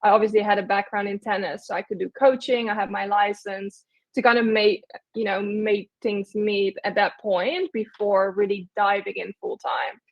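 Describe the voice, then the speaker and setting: A woman's voice: mid-range voice, steady tone, moderate pace, muffled recording. A young woman from the Netherlands, chatting casually as an interview guest, with lots of fillers and restarts. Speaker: guest, agency owner.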